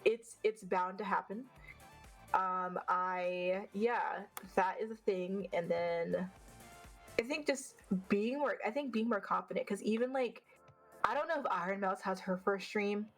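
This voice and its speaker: A woman with a deeper, unfeminine voice talks quickly and bluntly in a relaxed interview. She swears casually and trips into a slight stutter now and then. Faint music sits in the background. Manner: fast, blunt, swears freely. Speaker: vtuber guest.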